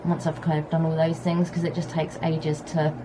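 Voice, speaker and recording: A woman chatting casually to camera at home with a husky, hoarse voice, rambling in an easygoing way. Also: deep voice, completely flat delivery, normal speed. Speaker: vlogger. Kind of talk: casual vlog chat to camera. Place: home on a hot day, fan running. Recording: faint background hum of fan. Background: fan and pressure washer outside.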